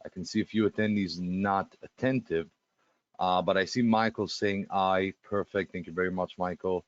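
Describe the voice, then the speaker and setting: A man's voice: low voice, steady tone, moderate pace, no room sound, highs cut off. A man with a Russian accent hosting a live webinar, chatty and full of fillers and restarts as he talks to the attendees. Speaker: webinar host.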